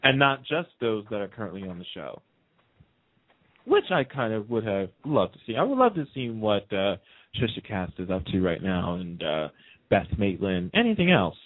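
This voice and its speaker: A man's voice: fairly low pitch, very animated, moderate pace, dry recording. A man calling in to a radio show, chatting casually and emphatically as an opinionated fan, repeating phrases to drive his points home. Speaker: call-in guest on a radio show. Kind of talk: casual soap opera fan discussion. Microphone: phone. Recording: muffled, band-limited phone call.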